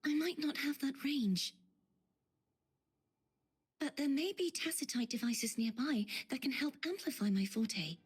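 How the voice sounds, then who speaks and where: An actress playing an earnest, composed young female guide in a fantasy video game, with a soft, breathy voice. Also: high voice, a little slow, long pauses. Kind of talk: video game dialogue acting. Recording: long reverb, music behind. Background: game music.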